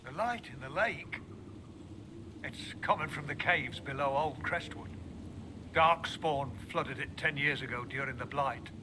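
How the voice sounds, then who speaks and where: An actor playing a weary village mayor who speaks hesitantly and anxiously. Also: male voice, medium pitch, a little slow, noisy background, lightly acted. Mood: worried. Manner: hesitant, worried.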